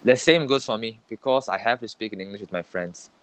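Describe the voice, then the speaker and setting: A man's voice: on the low side, brisk. A young man with a Malaysian accent, co-hosting a casual podcast chat and dropping "lah" into his sentences. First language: Tamil. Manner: chatty, repeats words, drops in "lah". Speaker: podcast co-host.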